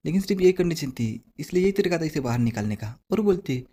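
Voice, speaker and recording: A hoarse man retelling a comic story in a rapid, chatty way, dropping in English words. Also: on the high side, animated, warm tone. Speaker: manhwa recap narrator. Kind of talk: casual storytelling recap.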